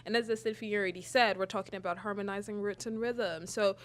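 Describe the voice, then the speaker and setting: A woman's voice: mid-range voice, fast. A woman hosting a student podcast, asking questions in an enthusiastic, casual way. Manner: enthusiastic, lively. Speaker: podcast host. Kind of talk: casual chat.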